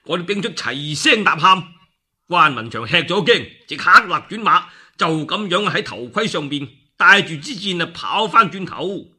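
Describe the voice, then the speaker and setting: A man's voice: high voice, animated, normal speed, sharp tone, husky. A man with a Cantonese accent, a radio storyteller narrating a classical war tale theatrically, with exclamations and spoken sound effects.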